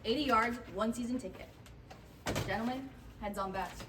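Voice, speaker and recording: A woman's voice: a little high, moderate pace, natural voice. A woman playing a sentimental, commanding mother in a comedy table read. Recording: distant mic, noisy room.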